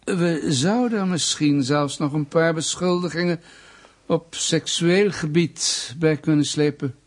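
An actor playing a smug, menacing state official in a Dutch radio play, mock-polite, pedantic and insinuating. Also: male voice, fairly high pitch, unhurried. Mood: smug, threatening.

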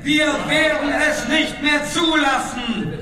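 An actor playing a fiery far-right French party secretary giving a declamatory rally speech in a radio drama. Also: male voice, extremely high pitch, fairly even intonation, slow pace, crowd noise. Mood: indignant. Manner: declamatory, rhetorical repetition. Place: large hall. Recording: echoing reverberant hall with faint noise.

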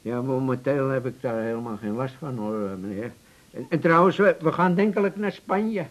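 An actor playing a good-natured, easily swayed husband in a radio play, speaking in a hesitant, hedging way. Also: male voice, mid-range voice, animated, moderate pace, lightly acted. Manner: hesitant, mumbling polite hedging.

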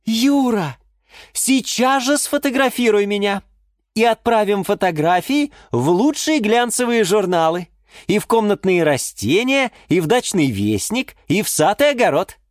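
Children's story geranium, played by a woman: high, shrill, quarrelsome diva with big dreams, indignant, very dry close sound. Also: animated, a little slow, no room sound, natural voice. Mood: bossy, excited. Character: excitable, quarrelsome female geranium dreaming of modelling.

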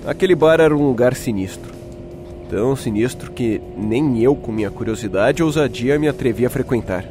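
A man's voice: medium pitch, lightly acted. A man acting as a shaken young Brazilian who tells his own horror story in a suspenseful, dramatic way. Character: shaken young man recounting a night-time horror encounter. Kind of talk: dramatic first-person horror story narration with acted dialogue. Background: music.

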